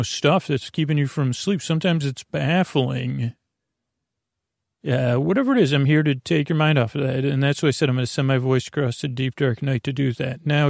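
Creaky-voiced man rambling soothingly. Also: medium pitch, darkish tone, no echo, studio quality. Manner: meandering, hesitant, self-interrupting, mock-questioning himself; lulling, soothing. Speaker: sleep podcast host. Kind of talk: rambling bedtime monologue.

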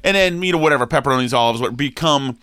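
Sniffly New man co-hosting a talk show, halting and filler-heavy, then forceful, in a mid-pitched voice on a dry, close mic. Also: no echo. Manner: rambling, halting with fillers and restarts, then emphatic. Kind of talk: opinionated casual talk.

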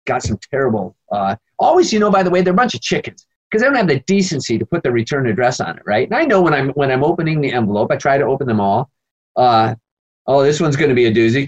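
A man's voice: medium pitch, poor audio. An American man, a priest being interviewed, talking emphatically and indignantly with rambling asides. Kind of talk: animated conversational interview answers.

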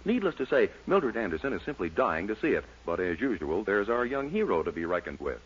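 A man's voice: fairly low pitch, very animated, fairly quick, husky. A man delivering scripted comic narration as the announcer of a 1940s radio show. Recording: muffled, noisy 1940s radio recording.

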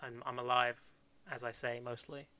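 A man's voice: medium pitch, flat delivery, moderate pace, sharp tone, smooth. A man in his twenties from Kent, co-hosting a podcast in casual, joking chat and laughing often. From Kent, England. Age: 20s. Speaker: podcast co-host.

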